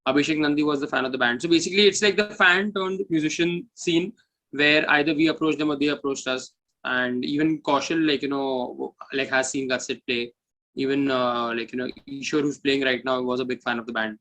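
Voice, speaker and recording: A man's voice: mid-range voice, steady tone, brisk, bright, forceful tone, low-quality recording. A man with an Indian English accent talking casually as an interview guest, rambling loosely with plenty of "like, you know". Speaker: band bassist and founder, interview guest.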